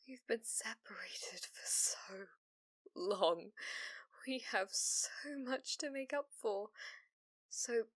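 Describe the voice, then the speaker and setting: A woman playing a possessive, devoted fox-spirit familiar in an ASMR roleplay, speaking intimately and often slipping into breathy whispers. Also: on the high side, slow pace, dry recording. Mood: wistful, yearning. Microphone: close studio microphone, dry.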